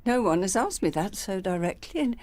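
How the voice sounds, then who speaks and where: An actress playing a fussy, genteel older widow and retired teacher who gets flustered and stammers, in a radio play. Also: female voice, mid-range voice, animated, brisk, darkish tone, dry recording, lightly acted.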